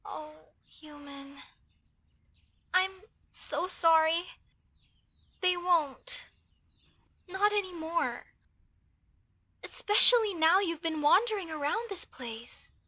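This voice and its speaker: A woman plays a young female elf mage with a high voice and an unhurried pace. She is earnest and apologetic, speaking hesitantly and chattily to the listener as in a fantasy audio roleplay. The sound is dry and close. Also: animated, pushed and bright, no echo, full character voice. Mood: apologetic, gentle.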